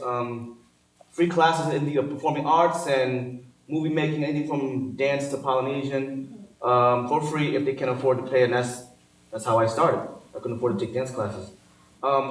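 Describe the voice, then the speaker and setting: A man on a panel telling his personal story in an earnest, conversational way. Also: mid-range voice. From Cambodia. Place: large hall. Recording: echoey hall from far off.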